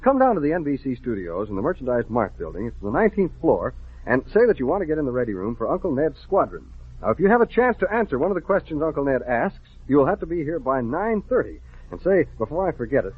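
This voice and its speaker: Fast, eager 1950s American radio announcer, man. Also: fairly high pitch, breathy edge. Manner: eager, addresses children directly. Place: radio studio. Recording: tinny, phone-like old radio recording.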